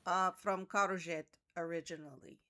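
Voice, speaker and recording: A forthright adventurer, played by a woman, with a slightly low voice. She is earnest and blunt, and rambles a bit, in a tabletop fantasy game. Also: steady tone, normal speed, pushed and bright.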